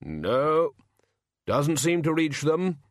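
A man's voice: on the high side, very animated, dry recording, studio quality, voice pushed up, exaggerated voice. A man voicing a red-hooded gift-bringer with a heavy, puzzled, gloomy voice. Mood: glum. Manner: slow, heavy, glum.

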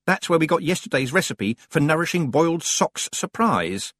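A man's voice: a little high, big pitch swings, moderate pace, a bit bright, no echo, studio quality, pitched above the performer's own voice. A man voicing an earnest, bookish deputy librarian with a precise, matter-of-fact manner in a storybook reading. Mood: earnest, helpful.

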